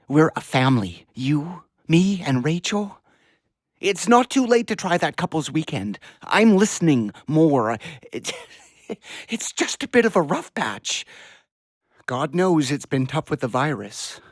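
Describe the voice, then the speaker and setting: A man playing a weary, pleading doctor and father in an audio play, speaking hesitantly and haltingly. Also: on the high side, sing-song, no room sound, studio quality, heavily acted. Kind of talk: audio drama acting.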